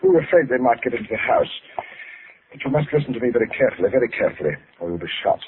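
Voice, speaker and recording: An actor in an old radio drama playing a cold, controlling father who threatens in a formal, measured way. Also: medium pitch, lively intonation, lightly acted. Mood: measured menace. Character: cold, controlling father calmly blackmailing at gunpoint. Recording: noisy, tinny old radio recording.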